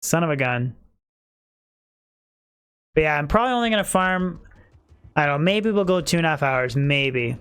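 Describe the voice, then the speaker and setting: A man's voice: fairly high pitch, animated, normal speed, long pauses, a bit bright, clear, resonant tone. A man streaming a game live, chatting casually with his viewers while he plays; he says he is losing his voice. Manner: chatty, talks to chat viewers, many fillers.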